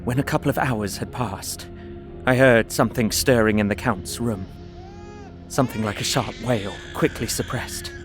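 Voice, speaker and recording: A man's voice: medium pitch, normal speed, lightly acted. Haunted, frightened young man over music acted by a man. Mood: hushed dread. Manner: earnest, intense, haunted. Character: frightened, despairing young Englishman imprisoned, writing his journal. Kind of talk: dramatic first-person audio drama narration.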